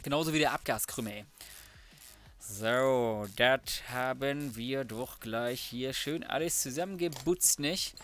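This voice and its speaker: A man's voice: mid-range voice, measured pace, a bit bright, soft background music. A man giving casual, colloquial game commentary, muttering to himself as he works through tasks. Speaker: let's play host. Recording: clear background noise, mouse clicks.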